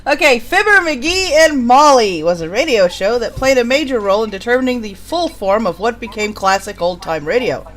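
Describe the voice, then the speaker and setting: A woman's voice: on the high side, animated, moderate pace, few pauses, fairly bright tone. A woman hosting and giving casual, amused commentary on old radio shows. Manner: amused, giggly. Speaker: host.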